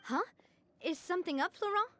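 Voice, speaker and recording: A woman's voice: very high voice, fairly quick, music in the background. An actress playing a spirited, caring young woman boss in a video game, earnest and decisive. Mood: friendly. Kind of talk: dramatic video game voice acting.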